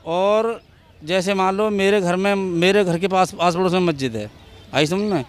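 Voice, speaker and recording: A man's voice: high voice, normal speed. A man giving his opinion in a street interview outdoors, speaking emphatically and repeating his points. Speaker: member of the public giving opinion.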